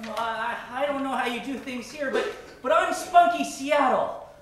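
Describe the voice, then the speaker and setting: A woman's voice: high-pitched, moderate pace, distant mic, noisy background, natural voice. A woman playing an eager, naive, plucky young boy sidekick in an old-time radio comedy, earnest and gee-whiz. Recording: roomy stage recording with music.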